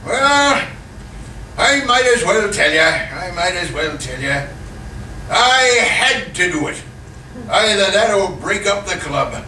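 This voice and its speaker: A man's voice: high voice, sing-song, slow, bright, forceful tone, far from the mic, heavily acted. An actor playing a cantankerous, folksy night watchman in an old-time radio comedy, grumbling and indignant, recorded live on stage. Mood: sheepish. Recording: echoey live stage, off mic, hiss.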